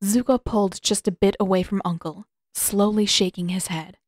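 A woman's voice: medium pitch, steady tone, moderate pace, darkish tone, no echo. A woman reading scripted fiction as an audiobook narrator, close to the microphone.

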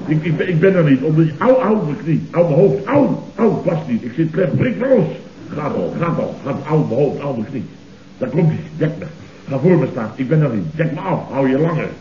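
Casting: pompous, panicky Dutch boss for vintage radio comedy, higher-pitched, wildly animated, stammering. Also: male voice, fairly quick. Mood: panicked. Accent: Netherlands Dutch. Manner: excitable, stammering, repeats words emphatically. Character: blustering, panicky, self-important male company boss. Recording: dull, noisy, roomy old radio recording.

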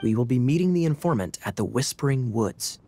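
A man's voice: mid-range voice, animated, brisk, dark tone, faint music behind, natural voice. A man voicing a suave, teasing, composed young cavalry captain in a video game, playful and faintly theatrical. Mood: sly, amused.

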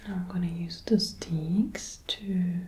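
A young adult woman speaking softly, mostly whispering, as a soothing, gentle school nurse in an ASMR role play. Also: on the low side, steady tone. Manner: soothing, gentle, slow and deliberate. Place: small decorated indoor room. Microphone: close binaural microphone. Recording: roomy binaural recording with clicking handling noise.

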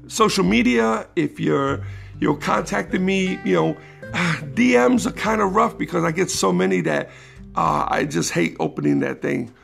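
A man hosting his own podcast in a casual, rambling monologue, his voice raspy, with many restarts that turn emphatic. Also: high voice, unhurried, a bit bright, faint music behind. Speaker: podcast host.

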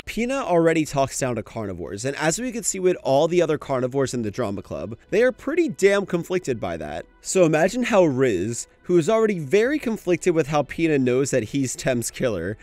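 A man's voice: a little high, huge pitch swings, brisk, hardly any pauses, a little mellow, no room sound, soft background music. A young man reading scripted analytical commentary in a conversational, opinionated way. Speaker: video essayist. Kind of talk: scripted video essay commentary.

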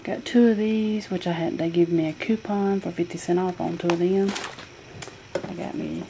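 A woman's voice: on the low side, steady tone, moderate pace. A woman listing groceries from her table in a casual home video, with plenty of ums as she goes item by item. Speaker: vlogger showing a grocery haul. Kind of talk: casual haul video narration. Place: home kitchen table, indoors. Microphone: handheld camera filming a tabletop. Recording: home camera audio with clear noise.

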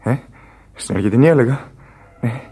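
An actor playing a weary, feverish dying sailor in a Greek radio drama, halting and drifting toward whispers, full of regret. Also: male voice, medium pitch, lively intonation, warm tone, husky. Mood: hazy nostalgia. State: weak, in pain. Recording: radio play with music underneath. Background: music.

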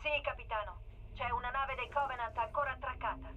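A woman's voice: fairly high pitch, brisk, dull audio, close to an everyday voice. A woman acting as a composed, analytical military artificial intelligence in a dubbed video game, giving serious briefings. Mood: urgent, controlled. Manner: clear-headed, briefing, serious.

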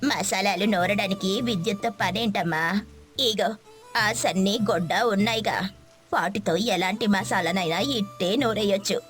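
A woman voicing a confident, capable older village mother-in-law in an animated moral story, with music behind. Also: mid-range voice, unhurried, pitched below the performer's own voice, natural voice. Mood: confident, reassuring.

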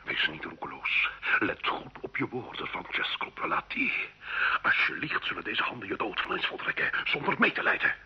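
A man's voice: low-pitched, sing-song, moderate pace, sharp tone, husky, lo-fi sound, exaggerated voice. An actor playing a menacing, obsessed medieval nobleman in an old radio drama, imperious and theatrically intense. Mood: threatening. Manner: imperious, intense, swinging between hissing whisper and outburst.

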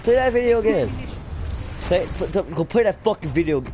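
A man's voice: high voice, sing-song, brisk, warm tone, soft background music. A man streaming a game, sick and losing his voice, joking crudely and laughing a lot. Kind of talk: casual gaming chat. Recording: noisy game stream capture.

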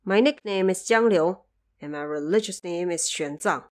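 A woman's voice: on the low side, moderate pace, natural voice. Earnest, humble young male monk for an audio drama, read by a woman. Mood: earnest, pleading. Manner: humble, pleading. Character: eighteen-year-old male monk, earnest and grieving.

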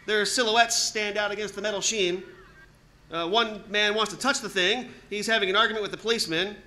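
A man playing an excited radio reporter at the scene in 1930s radio style, hesitant and stammering with urgency. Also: high voice, brisk, rough recording. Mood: hushed urgency. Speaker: actor in a radio drama recreation.

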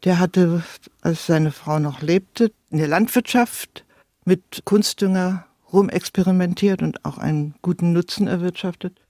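A woman speaks as an interviewed biographer, recounting her research. Her voice is low for a woman, and the recording is dry. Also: measured pace, warm tone, dry recording. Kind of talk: interview recollection.

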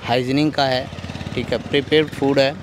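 A man, answering interview questions politely and haltingly, with English words mixed in. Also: mid-range voice, flat delivery. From Gorakhpur, Uttar Pradesh, India. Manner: polite, halting, many filler phrases, mixes in English. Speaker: food cart owner being interviewed. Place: outdoors at a roadside. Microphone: interviewer's handheld microphone. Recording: noisy outdoor street with traffic.

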